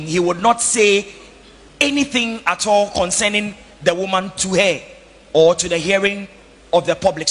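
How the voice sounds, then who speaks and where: Bright-voiced Ghanaian church interpreter. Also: male voice, high-pitched. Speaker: interpreter for the pastor. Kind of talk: interpreting a sermon into English. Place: large indoor church hall. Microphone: handheld microphone. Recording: echoey church hall, faint noise.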